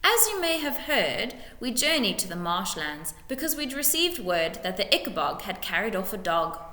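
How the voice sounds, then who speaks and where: A woman's voice: medium pitch, bright, forceful tone. A woman voicing a scheming, bullying male lord in a storybook reading, with snarled orders and oily false sympathy. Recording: echoey room with long reverb.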